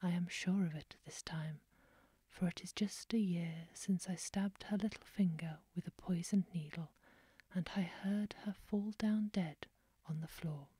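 A woman's voice: on the low side, unhurried, warm tone, breathy edge, dry recording. A woman whispering as a vain, cruel queen. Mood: gloating. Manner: whispered, scheming and sly.

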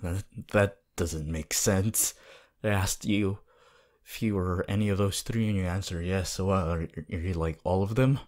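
A man playing a laid-back, teasing, sceptical teenage anime boy in an intimate, halting ASMR roleplay. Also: low voice, darkish tone, close to an everyday voice. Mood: amused, sceptical. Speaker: voice actor.

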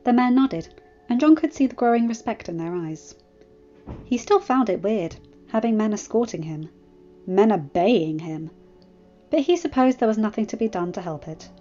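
A woman's voice: mid-range voice, animated, moderate pace, dark tone. A woman reading a story aloud as a scripted narration. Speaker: amateur fan fiction narrator. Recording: muffled lo-fi recording, music behind. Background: music.

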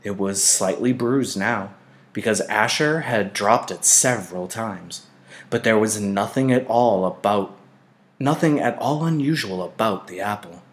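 Male narrator, lower register, expressive audiobook-style reading of fiction with deliberate phrasing. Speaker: teacher reading a novel aloud.

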